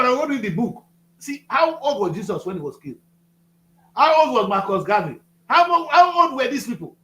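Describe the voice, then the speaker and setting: A man's voice: high-pitched, a bit bright, reverberant, low-quality recording. A Nigerian man in his fifties, speaking as a studio guest with a preachy, emphatic manner, repeating phrases for effect. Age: 50s. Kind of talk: passionate political talk.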